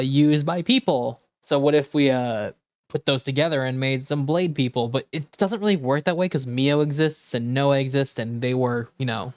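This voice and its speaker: A man's voice: mid-range voice, no room sound. A male game streamer with a mid-range voice chats casually. He rambles and hesitates as he thinks aloud. The sound is dry and a little dull. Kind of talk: casual livestream chat while playing.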